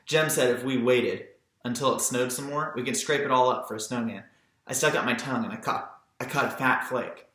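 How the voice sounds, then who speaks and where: A man's voice: mid-range voice, steady tone, brisk, sharp tone, roomy sound. A man reading a literary audiobook as narrator, in scripted storytelling style. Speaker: audiobook reader.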